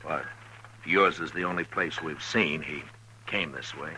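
An actor playing a stern, steady frontier marshal in a 1950s radio western, terse and authoritative. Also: male voice, rumbling low, a little slow, a little hiss or hum, full character voice, poor audio. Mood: steady, businesslike. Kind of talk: 1950s radio western acting and first-person narration. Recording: vintage 1950s radio recording with music.

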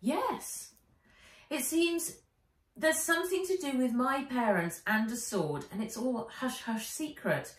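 A woman's voice: high-pitched, animated, slow, pitched above the performer's own voice. A woman voicing an earnest, questioning young girl adventurer in a children's story, speaking emphatically. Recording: roomy, distant home camera sound.

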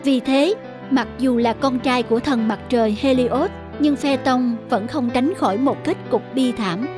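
A woman giving scripted storybook narration as the narrator of an animated fairy tale. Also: high-pitched, unhurried. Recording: music behind the narration.

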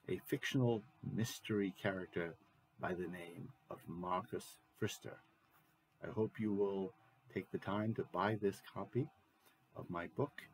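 A man in his sixties giving a measured, halting promotional announcement and reading to camera as an author, recorded on a webcam mic in a room at home. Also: on the low side, a little mellow, a little hiss or hum, rough recording. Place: small room at home, indoors. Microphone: computer webcam microphone at desk distance.

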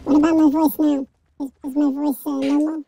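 A man voicing a small, pestering cartoonish creature through a pitched-up voice changer, in a video game chat. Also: level tone, fairly quick, warm tone.

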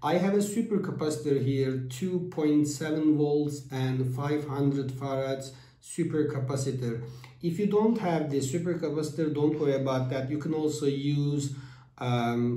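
A man in his thirties hosting a step-by-step electronics build tutorial, with halting phrasing and fillers, filmed at a table in a small room. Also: mid-range voice, steady tone, warm tone. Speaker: DIY electronics channel host. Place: small room with whiteboard, indoors. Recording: echoey room.

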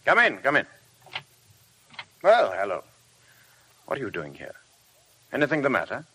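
An actor playing a moody, commanding upper-class gentleman with an English accent, brusque and self-possessed, in 1950s radio drama. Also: medium pitch, huge pitch swings, moderate pace, music in the background. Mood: impatient, teasing.

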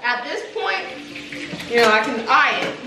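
A woman's voice: a little high, lively intonation, takes it slowly. A woman in her twenties in a home kitchen, reading recipe steps aloud and teasing her partner. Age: 20s. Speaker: vlogger. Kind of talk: casual cooking vlog chat. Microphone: camera mic across the kitchen. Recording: echoey kitchen, far from mic, noisy.

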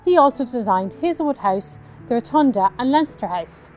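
An Irish woman in her thirties, a tour guide, narrating a scripted walking tour outdoors on a town street in a clear, measured way, recorded on a lapel mic. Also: on the high side, animated, darkish tone, faint music behind. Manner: clear, measured storytelling delivery.

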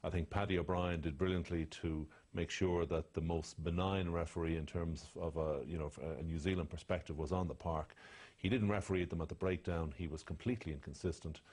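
A very deep-voiced man with a husky edge, speaking bluntly and with indignation as a studio pundit. His voice sinks lower and quieter when he condemns. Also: fairly even intonation, a little fast, hardly any pauses. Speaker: rugby analyst. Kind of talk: studio match analysis.

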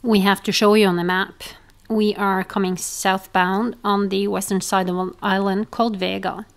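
A woman's voice: medium pitch, normal speed, vocal fry, clean tone, no room sound. A woman in her forties with a Norwegian accent, casually narrating a sailing vlog from outdoors on a boat. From Norway. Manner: relaxed explaining, pointing out sights. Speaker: sailing vlogger. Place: outdoors on a sailboat at sea.

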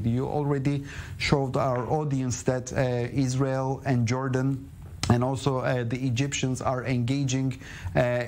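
A man's voice: medium pitch, darkish tone. Middle-aged male news analyst, noisy background. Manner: hesitant, halting phrasing with non-native English grammar. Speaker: studio guest analyst. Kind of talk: live television news analysis. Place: television news studio. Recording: clear background noise.

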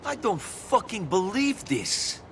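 An actor playing a world-weary, blunt immigrant criminal with an Eastern European accent in a video game. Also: male voice, high voice, very animated, takes it slowly, fairly bright tone, full character voice. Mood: bitter disbelief. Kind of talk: video game cutscene acting. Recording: game audio over clear traffic noise.

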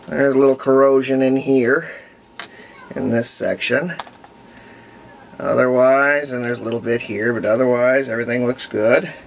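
An American man in his fifties narrates hands-on repair work unscripted, thinking aloud in a halting way as he goes. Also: medium pitch. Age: 50s. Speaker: hobbyist radio restorer. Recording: clear background noise.

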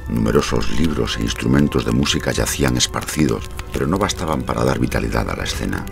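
Grave gentleman visitor, extremely deep gravelly voice, measured literary delivery, Gothic audio drama. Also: lively intonation, over music, full character voice. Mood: solemn, uneasy. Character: grave, concerned gentleman visitor recounting a horror.

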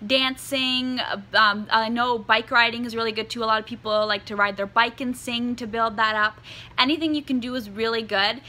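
A woman in her twenties with a bright, fairly high voice, talking straight to camera about singing. Her voice is a little croaky today, and she speaks in an earnest, chatty way, filling pauses with "um". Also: fairly even intonation. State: slightly croaky voice. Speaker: singer and vlog host. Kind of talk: casual advice talk to camera. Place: indoors in front of a dark curtain.

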